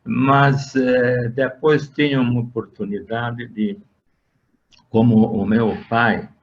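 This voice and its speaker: Slow older Hungarian-accented man. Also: medium pitch, flat delivery. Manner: slow and halting, with frequent "eh" fillers and restarts. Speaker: interviewee. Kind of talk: recounting his working life in an interview. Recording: dull lo-fi sound.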